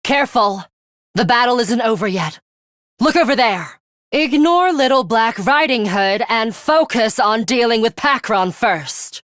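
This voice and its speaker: Game role: imperious, bloodthirsty young female fighter. Unhurried, formal, theatrical menace, dry close sound. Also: fairly high pitch, expressive, a bit bright, dry recording. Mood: commanding. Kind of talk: English video game voice acting.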